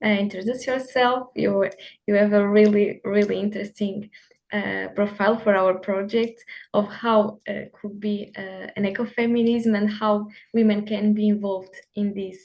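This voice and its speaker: A woman's voice: medium pitch, fairly even intonation, moderate pace, vocal fry, darkish tone, rough recording. Hesitant woman interviewer, many fillers. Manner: hesitant, filler-heavy, polite. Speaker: podcast host, interviewer. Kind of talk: interview questions.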